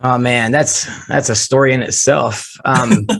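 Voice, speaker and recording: A man who is losing his voice, hoarse, telling animated, joking stories about his drumming start as an interview guest on a remote call. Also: medium pitch, unhurried. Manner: animated storyteller, jokey. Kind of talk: casual storytelling interview.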